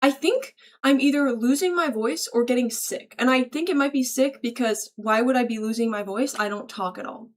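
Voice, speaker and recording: Female streamer, higher-pitched, informal and slangy, chummily thanking and answering her chat. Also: normal speed, hardly any pauses. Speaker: livestreamer greeting chat. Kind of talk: casual livestream chat, reading and answering viewer messages aloud.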